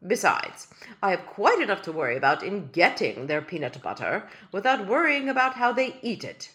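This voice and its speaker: A woman voicing a tiny, pompous, elderly male doll king who talks slowly, loudly and with stately gloom. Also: medium pitch, very animated. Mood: dignified. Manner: slow, loud, stately and mournful.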